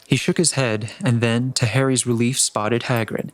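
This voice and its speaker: Male narrator, medium pitch, scripted storytelling, audiobook reading. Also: fairly even intonation, normal speed, no echo.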